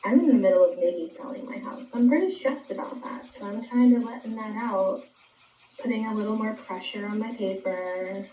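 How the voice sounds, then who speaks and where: Female art instructor, gentle and chatty, live-stream audio with room echo. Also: medium pitch, normal speed, recorded from a distance, slight background noise. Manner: soft-spoken, chatty, answering viewers while drawing. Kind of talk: live online art class.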